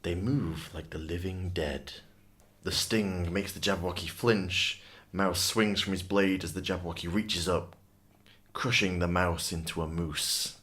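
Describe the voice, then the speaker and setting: A man's voice: deep voice, measured pace, fairly bright tone. A man giving dramatic, scripted story narration in a solemn, ominous manner.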